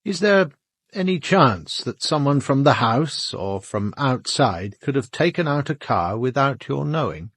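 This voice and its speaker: Animated, pointed British police inspector. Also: male voice, mid-range voice, unhurried, breathy edge, dry recording, clean studio sound, exaggerated voice. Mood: pointed, probing. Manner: pointed, formal. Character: dry, pointed male police inspector questioning suspects. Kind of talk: radio drama interrogation.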